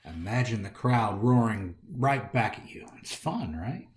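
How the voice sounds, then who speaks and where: A man's voice: on the low side, expressive, measured pace. An American man hosting a storytime, reading a picture book aloud in a theatrical way and talking directly to young listeners. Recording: roomy, echoey indoor sound.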